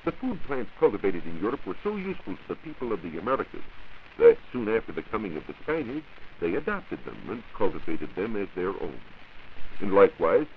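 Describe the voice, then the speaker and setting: A man with a very deep voice explains science in a formal, precise way. His pitch moves around in a lively, animated fashion. It is an old 1940s radio broadcast with a dull sound and some faint hiss. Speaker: science reporter and radio panelist.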